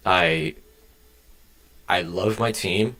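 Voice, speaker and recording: A man's voice: low-pitched, extremely slow, sharp tone. A young man streaming from his home desk, chatting casually with viewers while coding, rambling across tangents and swearing freely. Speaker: game developer streaming.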